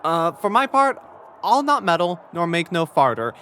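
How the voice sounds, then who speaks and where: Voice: mid-range voice, very animated, normal speed, fairly bright tone, a little hiss or hum. A young performer playing a meddling, chatty matchmaker uncle in a Shakespearean audio drama, fussy, huffy and full of teasing banter. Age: young adult.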